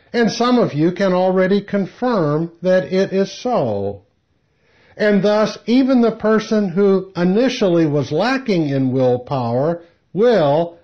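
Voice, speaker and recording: A man reading a spiritual lecture aloud in a measured, deliberate way. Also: sits high. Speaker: lecture reader. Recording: dull, muffled lo-fi audio.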